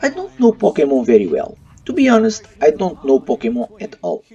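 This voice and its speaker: A man's voice: a little high, huge pitch swings, brisk, dark tone, slightly rough. A man giving an opinion review in voice-over, with non-native English phrasing. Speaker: YouTube movie reviewer. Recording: muffled, slightly distant mic. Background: faint music.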